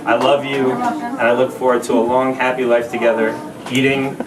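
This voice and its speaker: A man in his thirties giving a casual speech to his new wife at a party, halting with many fillers and laughing asides. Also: medium pitch, little pitch movement. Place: party venue with crowd. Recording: echoey room, mic at a distance, background noise.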